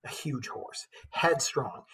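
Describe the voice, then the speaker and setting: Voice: mid-range voice, expressive, slow pace, a bit bright, slightly rough. A teacher reading a poem aloud with dramatic storytelling emphasis, breaking into casual, informal commentary.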